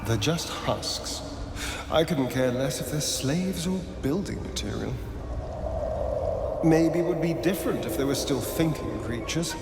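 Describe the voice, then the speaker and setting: A sardonic British elven rogue in a fantasy video game. The fairly high male voice swings widely in pitch, arch and theatrical, with a flippant, bloodthirsty edge. Loud music plays behind it. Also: lively intonation, unhurried, creaky, roomy sound, in a large hall. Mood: dry disdain. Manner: theatrical, arch, sarcastic drawl.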